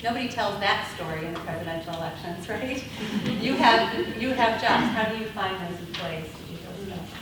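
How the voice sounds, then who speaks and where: A woman leads a conference workshop, talking loudly and laughing as she takes questions. She stands without a mic, so her voice comes from across an echoey room. Steady room noise runs under it. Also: mid-range voice, moderate pace, distant mic. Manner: loud voice. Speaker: conference presenter. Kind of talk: workshop presentation with audience questions. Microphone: speaking without a mic.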